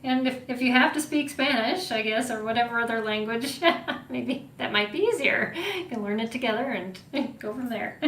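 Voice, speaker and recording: A woman's voice: moderate pace, fairly bright tone. A woman hosting a podcast, chatting casually, laughing often and giving many small affirming replies. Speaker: podcast host. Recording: roomy echo with faint rumble.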